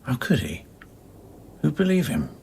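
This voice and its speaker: An actor playing a wry, probing, world-weary scientist in a radio play, speaking in a dry, measured, confiding way. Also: male voice, medium pitch, huge pitch swings, gravelly, a little mellow, a little hiss or hum, heavily acted.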